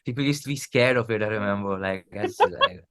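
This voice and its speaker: A man's voice: fairly low pitch, wildly swooping pitch, brisk, bright, forceful tone. A man with an Indian accent, a musician chatting candidly and informally as a podcast guest. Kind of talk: casual chat.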